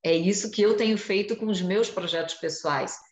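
A woman in her forties, a journalist guest, explaining in a lively way during a video-call interview from home. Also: mid-range voice, moderate pace. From Brazil. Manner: animated, explanatory, gestures while talking. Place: home room with shelves. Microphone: video call webcam. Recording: echoey room on video call.

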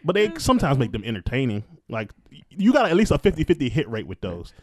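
A man's voice: mid-range voice, very animated, fast talker, crowd noise. A man co-hosting a podcast in casual group banter, blunt, profane and teasing. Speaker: podcast co-host. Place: small room around a table. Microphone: podcast table microphone.

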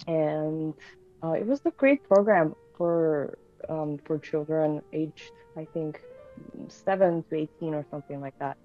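A woman's voice: low-pitched, a little slow, faint music behind. A woman in her thirties, born in Bulgaria and raised in Canada, chatting casually as a guest over a video call, with many fillers and restarts. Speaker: guest pianist. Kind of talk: casual interview chat. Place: home room indoors. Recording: tinny video call audio.

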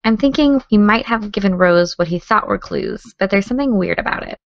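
A woman playing a determined, sardonic teenage podcaster in an audio drama, with a dry, brisk delivery. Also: medium pitch, animated, vocal fry, no echo, muffled recording. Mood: focused, matter-of-fact. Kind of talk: naturalistic audio drama dialogue.

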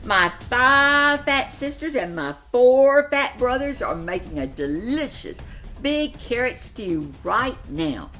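A woman's voice: fairly high pitch, big pitch swings, slow, sharp tone, faint music behind, voice pushed up, full character voice. A woman in her seventies voicing an eager, cheery, chatty little male rabbit in a children's storybook. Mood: cheerful.

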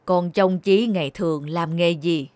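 An actor playing a stern middle-aged magistrate in a cartoon, deliberate and authoritative. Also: male voice, fairly high pitch, darkish tone, dry recording, natural voice. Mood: puzzled, authoritative. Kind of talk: cartoon voice acting.

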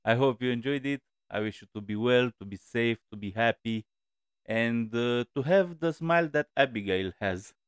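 A man's voice: medium pitch, moderate pace, clear, resonant tone, dry recording. A man in his forties hosting a music reaction video, talking casually and hesitantly with many fillers, from a small room on a headset mic.

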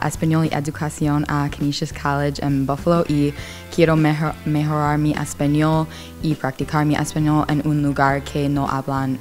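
A young woman student, an English speaker, halting through learner's phrasing with restarts and "um". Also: deep voice. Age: young adult. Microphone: handheld reporter mic.

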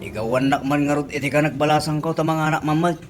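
A man's voice: fairly high pitch, fairly even intonation, quick pace, close to an everyday voice. A man playing a gossipy Ilocano village father, noisy recording. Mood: casual, sly. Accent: Filipino, Ilocano. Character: gossipy, defensive middle-aged village father who drinks. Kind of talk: Ilocano comedy radio drama acting.

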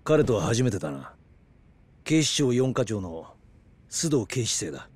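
Grave middle-aged detective. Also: male voice, fairly low pitch, very animated, a little slow, a little mellow, husky, full character voice. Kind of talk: dramatic video game cutscene acting.

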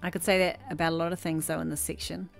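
A woman's voice: low-pitched, flat delivery, quick pace, vocal fry. A woman in her thirties, a chatty vlogger talking over her shopping footage from her bedroom, gushing and rambling. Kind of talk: casual voice-over chat. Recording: music faintly behind.

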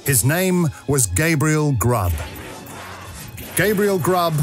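A man's voice: a little high, animated, takes it slowly, faint music behind. A man narrating an audio drama as a scripted, dramatic storyteller.

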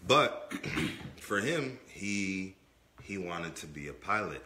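Very deep-voiced man in an echoey room. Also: big pitch swings, slow, pushed and bright, a little hiss or hum. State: under the weather, voice not fully clear. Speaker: podcast co-host. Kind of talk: casual podcast chat. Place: indoor room with brick and wood-panel wall.

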